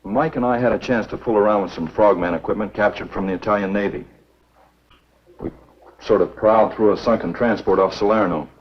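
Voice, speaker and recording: A man acting an earnest, duty-bound American lawman in a 1950s B-movie, speaking in a measured, matter-of-fact, husky voice. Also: lowish voice. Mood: earnest, reasoning. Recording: dull, muffled 1950s film soundtrack.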